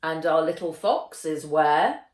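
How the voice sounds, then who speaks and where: A woman's voice: low voice, lively intonation, takes it slowly. A woman voicing a stern, teasing male kung fu master in a children's audiobook, formal and commanding. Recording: roomy echo.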